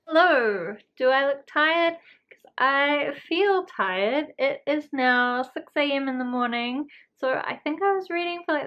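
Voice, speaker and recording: Woman vlogging casually, slightly dull sound. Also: on the high side. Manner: rambling, self-deprecating, trailing asides. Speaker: book vlogger, host. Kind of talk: casual chat to camera. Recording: slightly dull lo-fi home recording.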